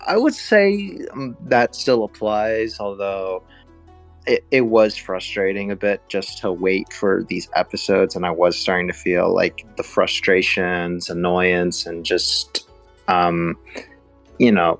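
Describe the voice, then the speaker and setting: A man with a fairly low voice talks as a podcast co-host. He is informal and hedges as he thinks aloud, with music behind the chat. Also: creaky. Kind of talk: casual fan podcast chat.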